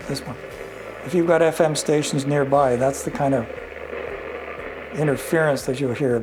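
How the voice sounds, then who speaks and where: An older man giving a casual, unhurried product walkthrough, often pausing mid-sentence as he explains. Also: medium pitch, rough recording. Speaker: vintage radio reviewer.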